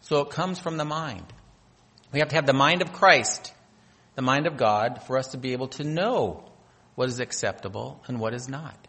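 Guy from north dakota giving a sermon in a church hall, long echo, gets loud on the big lines. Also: mid-range voice, animated, normal speed. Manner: preaching with swells of emphasis and scripture reading. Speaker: pastor.

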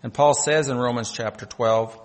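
A man's voice: mid-range voice, fairly even intonation, normal speed. A man from North Dakota delivers a sermon in a church hall, with a long echo trailing his words. He reads scripture aloud and swells in emphasis on key phrases. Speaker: pastor.

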